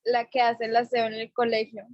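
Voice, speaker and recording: A woman's voice: a little high, monotone, fairly quick, highs cut off. A woman student answering in class, hesitant and searching for words.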